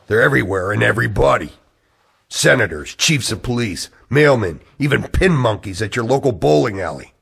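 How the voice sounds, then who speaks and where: Male actor as grave, bitter conspirator, retro radio drama, gravelly, very expressive intonation, ominous. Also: mid-range voice, moderate pace, heavily acted. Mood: earnest warning. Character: grave, embittered male conspirator hunting hidden creatures. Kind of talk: 1940s radio drama acting.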